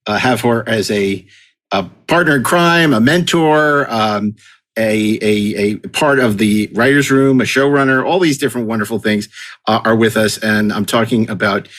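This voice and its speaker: A man's voice: mid-range voice, normal speed, a bit bright. A man hosting a podcast in a conversational style, effusive and wordy as he talks. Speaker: podcast host.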